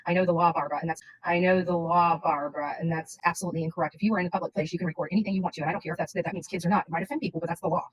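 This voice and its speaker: A woman's voice: on the low side, steady tone, racing, hardly any pauses, husky, dry recording, low-quality recording. A woman chatting casually on a livestream in a blunt, rambling, insistent way.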